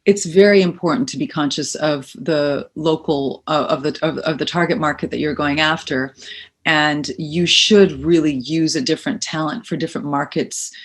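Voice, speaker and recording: A woman's voice: sits low, fairly even intonation, moderate pace. A middle-aged woman, a voice-over coach and actress, answering interview questions with emphatic, practical advice. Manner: practical, emphatic, uses second person advice.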